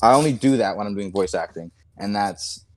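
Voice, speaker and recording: A deep-voiced man stumbles through defensive answers, restarting sentences and speaking in a flat, even way. The sound is dry, with no room around it. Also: no echo. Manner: hesitant, halting, monotone. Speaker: content creator answering accusations.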